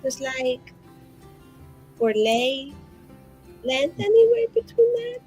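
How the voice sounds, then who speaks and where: A woman's voice: sits high, very animated, slow pace, dark tone, soft background music, rough recording. A woman player speaking in character in a tabletop game, chatty and rambling with constant fillers. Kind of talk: in-character roleplay.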